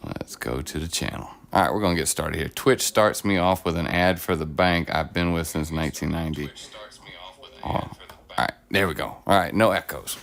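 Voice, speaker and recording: Streamer host, middle-aged man, gravelly low voice a bit rough from illness, rambling upbeat chat, phone mic at home. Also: normal speed, fairly bright tone. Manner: rambling, upbeat, self-deprecating. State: voice deepened while getting over an illness. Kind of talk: casual livestream chat while painting miniatures. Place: home, at a table. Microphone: phone on a clamp arm.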